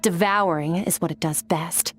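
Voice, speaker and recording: An actress playing a cool, aloof female warrior mentor in a video game, speaking calmly and coldly. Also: lowish voice, natural voice. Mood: measured. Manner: calm, cold, measured.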